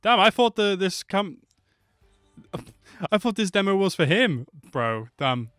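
A man's voice: sits high, very animated, slow, a bit bright, clean tone, no room sound. A man in his twenties giving casual, slangy reaction commentary, swearing freely, close on a desk microphone. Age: 20s. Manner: slangy, laid-back, swearing. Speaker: reaction video host. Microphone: close desk microphone with headset. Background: game trailer audio with music.

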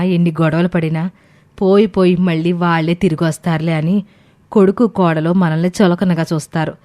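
A woman plays an older mother in a family tale. She is proud and calculating, and complains stubbornly in a fairly low voice. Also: a little mellow, natural voice. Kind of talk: dramatised family moral story.

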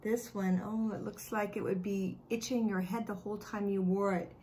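A woman's voice: medium pitch, fairly even intonation, normal speed, mellow, slight background noise. A middle-aged American woman, a kindergarten teacher, talking expressively and simply to young children from her living room. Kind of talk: read-aloud and chat to young children.

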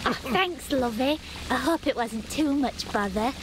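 A woman's voice: sits high, expressive, unhurried, background noise. A woman voicing a cheery, motherly older chip shop owner with a Northern English accent in a cartoon game.

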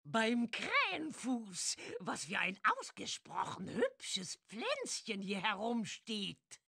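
A woman playing a boastful, mischievous old witch with a sharp, creaky voice in a children's game. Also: medium pitch, huge pitch swings, heavily acted. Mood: smug. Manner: cackling, theatrical, drawn-out delivery. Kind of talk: German children's audio-play acting in a game.

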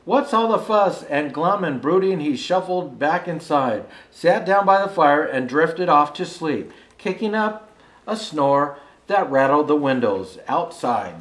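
An older man reads a children's storybook in an expressive, unhurried way. His voice sits a little high for a man, the pace is slow, and the room adds noticeable echo. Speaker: storyteller dressed as Santa Claus. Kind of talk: reading a children's picture book aloud. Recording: roomy indoor echo.

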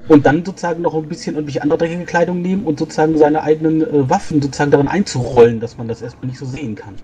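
A man's voice: on the high side, steady tone, very fast, mellow, slight background noise. A man playing a tabletop game, casually describing his character's actions and often repeating words as he thinks.

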